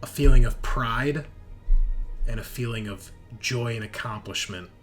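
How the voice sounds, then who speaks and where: Male tabletop game master, sharp-edged medium voice, measured dramatic delivery with pauses, music underneath. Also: medium pitch. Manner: deliberate short phrases with suspenseful pauses. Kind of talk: dramatic improvised narration with table talk. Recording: music behind the voice. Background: background music.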